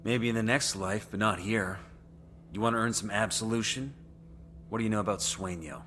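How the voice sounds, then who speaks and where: An actor playing a gruff, dry-witted special forces soldier, deadpan and unimpressed, in a video game. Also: male voice, on the low side, normal speed, slight background noise. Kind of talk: dramatic video game cutscene acting.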